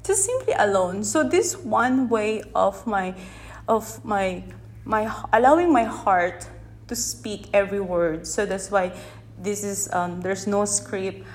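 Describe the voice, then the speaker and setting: A woman from the Philippines whose first language is Tagalog, talking unscripted into a phone held in one hand on her podcast, gently and with many restarts as she searches for words. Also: mid-range voice, lively intonation, slow. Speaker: podcast host. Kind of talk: unscripted reflective podcast monologue. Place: unedited setting with background sound.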